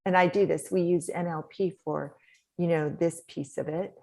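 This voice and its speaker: A middle-aged woman with a soft voice, talking as a podcast guest in an animated, coaching way, laughing often. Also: lowish voice, moderate pace, creaky. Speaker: guest, author and speaker. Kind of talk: podcast interview talk.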